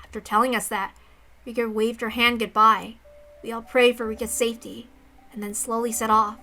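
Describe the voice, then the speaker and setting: High, bright-voiced woman reading a story. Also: level tone, normal speed. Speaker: reader of a visual novel. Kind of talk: dramatic narration with casual asides.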